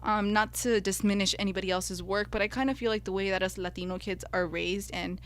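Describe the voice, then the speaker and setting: A woman's voice: mid-range voice, fairly even intonation, a little fast. A young woman from the United States whose first language is Spanish, co-hosting a podcast and sharing her own experiences in an earnest, heartfelt way. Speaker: podcast co-host. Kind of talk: personal reflective podcast talk.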